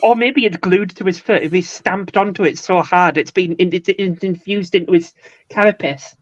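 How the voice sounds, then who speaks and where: Mid-pitched guest on a dull dry mic. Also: moderate pace, no echo. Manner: explains painting steps plainly, teasing. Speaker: guest painter. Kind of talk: casual hobby chat.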